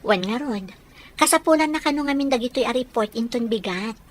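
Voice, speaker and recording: A woman's voice: on the high side, expressive, fairly quick. A woman playing a sincere, dutiful young woman newly in love in an Ilocano audio drama. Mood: friendly.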